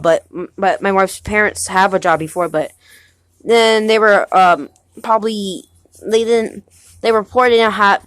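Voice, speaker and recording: A young man playing a halting nineteen-year-old husband. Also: high-pitched, fairly bright tone, no echo. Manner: hesitant, halting, many restarts. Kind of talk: amateur home movie acting.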